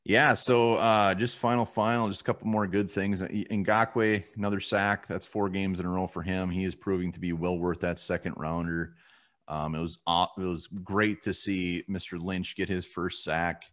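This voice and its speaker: A man's voice: sits low, flat delivery, moderate pace, fairly bright tone. A man co-hosting a casual postgame sports show from a home room over a video call, blunt and casually swearing as he runs through stats. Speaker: co-host of a sports fan show.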